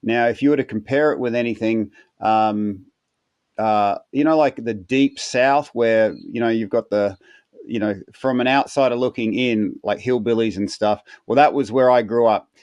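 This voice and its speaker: A man's voice: medium pitch, moderate pace, darkish tone, smooth, no echo, highs cut off. A man in his fifties with an Australian accent, telling his life story as a podcast guest in an earnest way, often restarting with repeated words and fillers. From South Australia. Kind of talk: storytelling interview answers.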